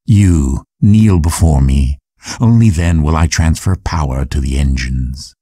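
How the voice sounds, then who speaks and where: Imperious spaceship AI, commanding, dramatic game voice, extremely deep, dark tone. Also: male voice, unhurried, slightly rough, no echo, studio quality, heavily acted. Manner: pompous, commanding. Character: imperious ship computer character, commanding and menacing. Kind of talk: dramatic sci-fi voice pack acting.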